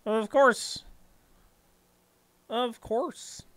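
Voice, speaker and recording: A man's voice: extremely high pitch, fairly even intonation, extremely slow, a bit bright. A man livestreaming a game in casual, rambling chat, repeating phrases and swearing freely, with a put-on folksy hillbilly drawl.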